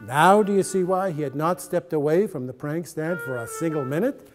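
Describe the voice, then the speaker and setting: A man in his sixties giving a theatrical, dramatic story reading with emphatic delivery and dramatic pauses. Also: fairly high pitch, over music. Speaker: actor reading a short story aloud. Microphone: lapel mic.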